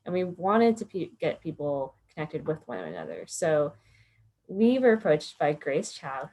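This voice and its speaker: Low-voiced woman moderator. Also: a little mellow, poor audio. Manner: friendly, slightly halting with restarts. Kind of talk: event introduction.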